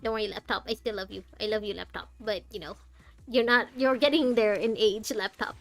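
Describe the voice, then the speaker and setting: A woman's voice: mid-range voice, a little fast, creaky, fairly bright tone. A woman giving casual live playthrough commentary, thinking aloud in a wry, opinionated way. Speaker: game streamer. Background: game dialogue and music.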